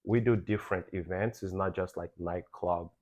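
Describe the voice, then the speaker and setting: Deep-voiced young man from Belgium. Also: moderate pace, highs cut off. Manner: animated, acts out quoted exchanges. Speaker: podcast guest, nightlife VIP host. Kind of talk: casual interview storytelling.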